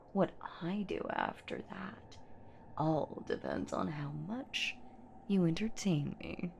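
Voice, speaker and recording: A woman's voice: low-pitched, creaky, darkish tone, slight background noise, full character voice. A woman voicing a menacing, playful centuries-old boogeywoman in a horror audio roleplay, with a theatrical, teasing manner that often slips toward a whisper. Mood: anticipating, menacing. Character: menacing, playful centuries-old female boogeywoman monster hunting her prey.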